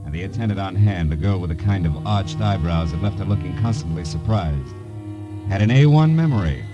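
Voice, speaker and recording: An actor playing a wry, persistent private eye in 1950s radio noir, clipped and slangy, on an old recording. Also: male voice, low voice, animated, fairly quick, muffled recording, over music. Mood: wry narrating. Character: hard-boiled male private eye, wry, persistent, fast-talking. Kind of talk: 1950s radio noir acting, first-person narration and dialogue.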